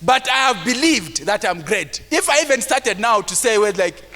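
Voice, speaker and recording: A man with a mild stammer giving a preachy motivational talk to a live audience at a conference, acting out timid voices and sometimes shouting to make a point. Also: high-pitched, animated, normal speed, soft background music. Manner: preachy, rising to shouts for emphasis; acts out timid voices of self-doubting people. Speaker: motivational speaker. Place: large conference hall. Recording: long hall reverb.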